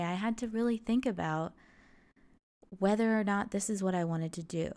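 A young woman hosts a solo podcast and speaks at a slow, unhurried pace. Her voice sits in a middle pitch, and she sounds earnest and encouraging throughout. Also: darkish tone. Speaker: podcast host. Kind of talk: reflective solo podcast monologue.

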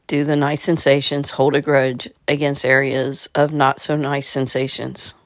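A woman leading a guided meditation in a calm, measured, soothing manner. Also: a bass voice, dry recording.

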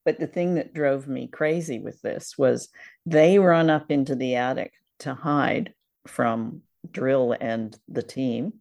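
A woman's voice: very deep voice, slow, vocal fry, darkish tone. Woman, podcast co-host, casual discussion, thinking aloud, conversational phrasing. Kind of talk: casual discussion of a radio drama.

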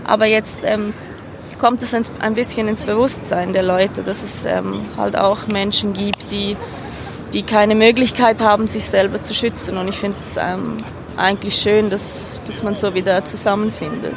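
A woman's voice: medium pitch, level tone, moderate pace. A woman giving thoughtful, hesitant answers in a spontaneous street interview. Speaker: street interviewee, care worker. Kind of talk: spontaneous reflective interview talk. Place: outdoors on an urban street. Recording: clear urban street and traffic noise.

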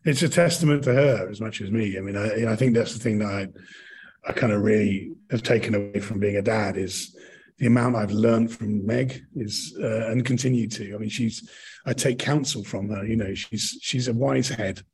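A man's voice: fairly low pitch, fairly even intonation, normal speed, darkish tone, slightly rough. A middle-aged British man in casual, reflective conversation, thinking aloud and often rephrasing as he goes. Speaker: podcast guest, actor and director.

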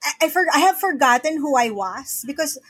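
A woman's voice: high-pitched, lively intonation, fairly quick, fairly bright tone, dry recording. A middle-aged woman from the Philippines, a podcast guest talking earnestly and often repeating words as she starts a sentence. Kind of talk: casual interview talk. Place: home in the Philippines.

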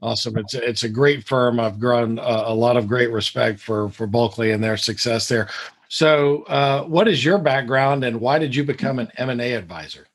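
A man's voice: medium pitch, normal speed, no echo. A man hosting a podcast interview, talking in a folksy way with frequent repeated words. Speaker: podcast host.

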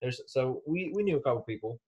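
Relaxed young man chatting casually. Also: mid-range voice, fast talker, lo-fi sound. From Kansas City. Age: young adult. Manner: relaxed, rambling with many fillers. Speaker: college wrestler guest. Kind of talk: casual interview chat.

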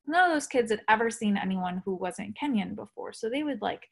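A woman's voice: mid-range voice, lively intonation, fairly quick, gravelly, slightly rough. A woman being interviewed, talking earnestly and reflectively with frequent fillers. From India. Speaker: guest. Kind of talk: conversational interview.

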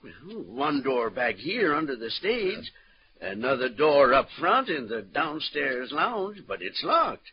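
An actor playing a cheeky, talkative theater stage-door attendant in 1940s radio crime drama, with colloquial wisecracks. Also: male voice, mid-range voice, big pitch swings, slow, a little hiss or hum. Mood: chatty, puzzled. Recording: dull old radio recording.

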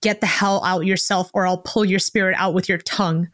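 A woman's voice: on the low side, fairly even intonation, fairly quick, fairly bright tone, no room sound, clean studio sound, close to an everyday voice. Woman as an earnest, troubled young prince. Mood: threatening. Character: troubled, sorrowful young prince who turns angrily threatening.

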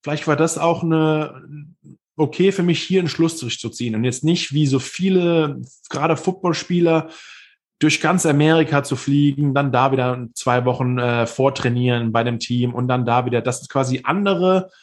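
A man's voice: medium pitch, normal speed. A man in his thirties, a former professional football player, telling his career story as an interview guest in long, rambling sentences with frequent hesitations.

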